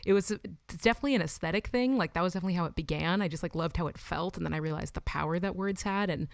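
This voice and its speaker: A woman's voice: lowish voice, racing, creaky, no echo, clean studio sound. A woman in her twenties, chatting casually in an interview with lots of "like" and "um". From Los Angeles. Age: 20s. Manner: chatty, frequent "like" and "um", laughs between thoughts. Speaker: musician guest.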